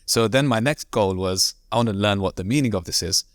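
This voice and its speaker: A man from Devon, an imam, talking casually as a podcast guest in quick, rambling sentences full of fillers and restarts. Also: on the low side, no room sound, clean studio sound. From Devon, England. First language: not Arabic. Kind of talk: casual conversational interview.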